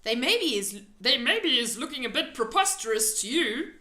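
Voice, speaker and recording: A woman voicing a big, gentle, dreamy male giant from a storybook, proud and earnest, with quaint muddled grammar and an emphatic delivery. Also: fairly high pitch, very animated, moderate pace, echoey room. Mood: proud, boastful. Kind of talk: storybook character reading.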